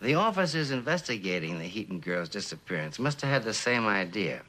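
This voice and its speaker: A man playing an earnest, probing police lieutenant in a mid-century TV drama, speaking in a measured, questioning way. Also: on the low side, sing-song, pushed and bright, full character voice.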